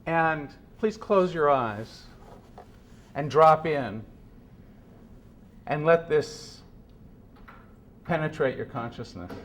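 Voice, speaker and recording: A man speaking to a gathered room in a solemn, deliberate way, with measured phrasing, as if presenting a serious reading. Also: on the high side, expressive, slight background noise. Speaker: speaker at a meeting. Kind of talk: introducing and reading philosophical prose aloud to a gathering. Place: meeting room with an audience.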